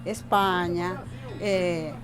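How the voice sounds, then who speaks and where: Older Bolivian woman, halting speech. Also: fairly low pitch, fairly even intonation, over loud music. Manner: halting, repeats words, frequent tag questions. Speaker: interviewee. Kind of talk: casual interview talk.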